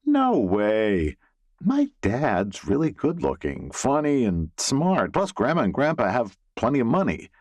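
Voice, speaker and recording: A man's voice: medium pitch, huge pitch swings, measured pace, a little mellow, no echo, voice pushed up. A man playing a curious, caring young man in an audiobook, speaking in an earnest manner. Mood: surprised, curious.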